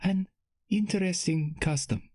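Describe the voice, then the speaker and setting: A man narrating a story and voicing a poised young princess with an exotic foreign accent who speaks slowly and formally. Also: fairly high pitch, lively intonation, a little mellow, dry recording, studio quality, pitched above the performer's own voice, full character voice. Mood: thoughtful. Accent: exotic foreign lilt. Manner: slow, careful, formal speech.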